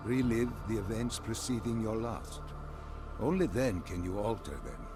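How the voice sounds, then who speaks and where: A man playing a mysterious mentor in a video game, speaking in a solemn, measured, instructive way. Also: fairly low pitch, expressive, music in the background. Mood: solemn, guiding.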